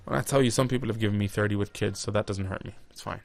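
A young man co-hosting a radio show, telling quick stories and jumping in with lively asides. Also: lowish voice, gravelly. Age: young adult. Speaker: radio co-host. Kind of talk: casual radio chat.

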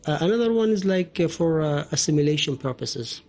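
Man from Oman, linguist guest, mellow low tone, hesitant and halting, rough gravelly edge. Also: lively intonation, faint music behind. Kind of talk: explanatory podcast talk.

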